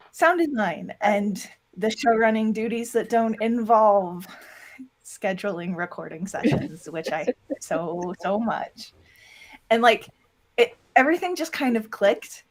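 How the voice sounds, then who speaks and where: A woman speaks as a guest in a relaxed interview. Her voice sits in a middle register, and she talks in a candid, informal way with plenty of "like" along the way. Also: medium pitch. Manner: candid, informal, heavy use of "like". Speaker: interview guest, audio drama producer and voice actor. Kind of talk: casual interview chat.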